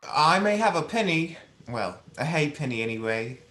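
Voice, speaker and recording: A man's voice: on the high side, sing-song, moderate pace, pushed and bright. A man acting in a radio play as a meek, kindly clerk, polite and deferential, in a roomy, echoing recording. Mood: timid, kindly.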